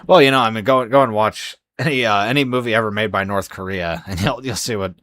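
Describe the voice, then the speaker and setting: Sleepy, hoarse low-voiced man streaming. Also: fast, fairly bright tone. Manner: rambling, many fillers and restarts. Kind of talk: casual live-stream chat.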